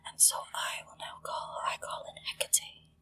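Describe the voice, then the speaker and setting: A woman whispering gently and deliberately into a close microphone, leading a ritual in ASMR style with hushed pauses. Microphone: close-up sensitive microphone.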